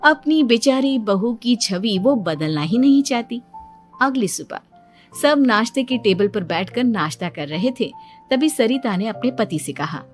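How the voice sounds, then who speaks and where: A woman's voice: on the high side, animated, measured pace, soft background music. A woman narrating a cartoon story in a plain storytelling manner.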